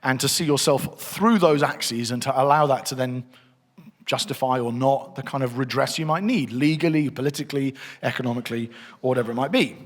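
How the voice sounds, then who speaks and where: A man's voice: mid-range voice, brisk. A middle-aged man giving a conversational church teaching session, thinking aloud as he works through his slides. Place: church hall. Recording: long reverb of a hall.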